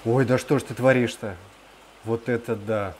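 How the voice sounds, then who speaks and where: A man's voice: mid-range voice, fairly even intonation, normal speed, darkish tone. A man giving casual, off-the-cuff commentary as a vlogger touring an indoor aquarium room. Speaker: vlogger filming a tour of private aquariums. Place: indoor room full of large fish tanks. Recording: faint water and room noise.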